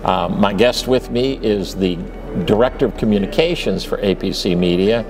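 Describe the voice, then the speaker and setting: A man's voice: on the low side, animated, normal speed, music in the background. A man hosting interviews at a conference, friendly and encouraging, nudging his guest along with frequent short affirmations. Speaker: interview host, managing editor. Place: busy conference venue. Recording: noisy conference floor.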